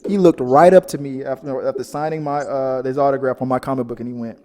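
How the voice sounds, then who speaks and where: A man hosting a casual pop-culture show, telling stories in a rambling, animated way. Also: medium pitch, fast talker, slight background noise. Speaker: podcast host. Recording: reverberant, echoing room sound.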